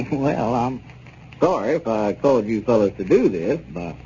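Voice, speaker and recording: A sensible, reassuring small-town neighbor speaks plainly with lively swings of pitch. It is genial 1930s radio comedy acting, captured on a dull, faintly noisy old recording. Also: male voice, measured pace. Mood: advising.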